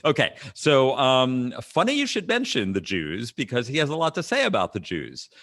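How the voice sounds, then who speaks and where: A man co-hosting a podcast in casual political banter, with a sarcastic, incredulous and animated manner. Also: medium pitch, moderate pace, dry recording, clean studio sound. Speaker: podcast co-host.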